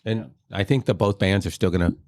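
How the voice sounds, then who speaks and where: A man in his fifties, a guest in casual chat over beers, laughing often and pausing with ums. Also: low voice, a little mellow, no room sound, clean studio sound. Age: 50s. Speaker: trombone player, guest.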